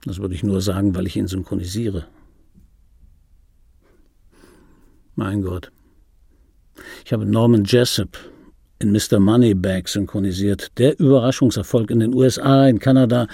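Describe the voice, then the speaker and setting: A male actor playing a vain, aggrieved middle-aged dubbing actor in a German radio drama monologue, insistent and repetitive, with a raspy voice. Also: mid-range voice, warm tone, no echo, clean studio sound. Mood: irritated. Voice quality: raspy, gravelly. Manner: insistent, repetitive, self-justifying. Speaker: narrator of a radio play. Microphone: close studio microphone, dry.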